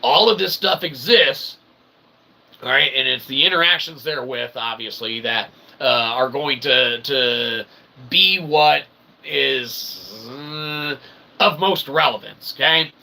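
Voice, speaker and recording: A man's voice: medium pitch, slow, gravelly, bright, forceful tone. A man delivering a rambling, off-the-cuff monologue in pompous, convoluted phrasing, often checking in with "okay" and "all right". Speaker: self-styled philosopher and channel host.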